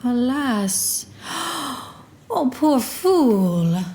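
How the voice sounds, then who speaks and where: A woman's voice: sits high, big pitch swings, very slow, darkish tone, full character voice. A woman playing a composed, pitying noble lady in a theatrical Shakespearean audio play.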